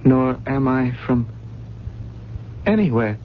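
An actor playing a dazed, lost man with no memory in a radio drama, speaking haltingly and rather formally. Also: mid-range voice, lively intonation. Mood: bewildered, forlorn. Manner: hesitant, halting, formal wording. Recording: muffled old radio broadcast, faint hiss.

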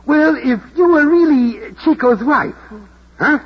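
An actor in a vintage radio drama playing a kindly, hesitant, fatherly older taxi driver with a husky voice and a coaxing manner. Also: very high-pitched, animated, lo-fi sound, heavily acted. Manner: slow, hemming, gently coaxing. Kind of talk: 1940s radio drama acting.

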